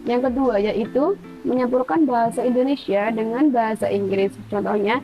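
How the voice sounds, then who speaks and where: A young woman from Central Java with a Javanese accent, reading a prepared university presentation in a steady, list-like way. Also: a little high, normal speed, dull audio, over music. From Purbalingga, Central Java.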